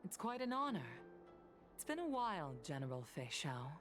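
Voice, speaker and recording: An actress voicing a dignified retired pilot in a video game, courteous and composed, speaking formally to an old comrade. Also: female voice, medium pitch, very animated, normal speed, lightly acted. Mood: nostalgic, courteous. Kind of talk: scripted video game voice acting. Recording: music under the voice.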